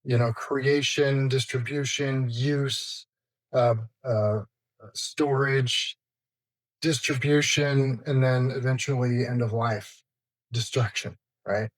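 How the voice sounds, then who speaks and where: A man's voice: mid-range voice, fairly even intonation, slow, no room sound, rough recording. A man speaking as an expert interview guest, explaining informally and listing points in a conversational way.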